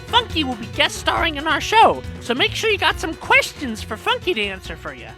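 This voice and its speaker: Cheeky male cartoon monkey, female actor, sassy talker, bright and very animated. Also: sits high, a little fast, full character voice. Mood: upbeat. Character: cheeky thirty-year-old male cartoon monkey, chatty and opinionated. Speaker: co-host of a fan question show. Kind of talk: fan audio drama voice acting. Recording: dry voice over background music.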